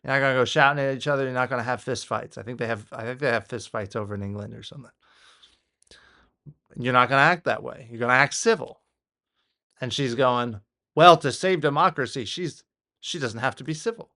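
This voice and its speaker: An American man reacts off the cuff as a political commentator. His voice sits at a medium pitch, and he talks haltingly, circling back over his points with exasperation. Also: bright, forceful tone.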